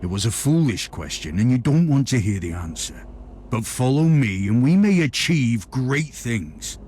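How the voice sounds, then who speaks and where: An actor playing a formal, authoritative and calculating inquisitor in a grim sci-fi video game. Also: male voice, medium pitch, sing-song, measured pace, darkish tone, slight background noise. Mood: firm, persuasive. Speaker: game character. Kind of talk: dramatic video game cutscene acting.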